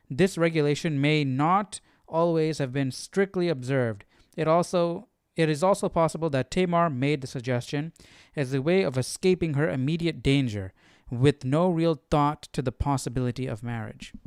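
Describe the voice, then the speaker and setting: A man's voice: fairly high pitch, normal speed, clear, resonant tone, no echo, studio quality. A man hosting an informal Bible study, reading aloud and puzzling through the text hesitantly as he comments. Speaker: host of a live Bible study.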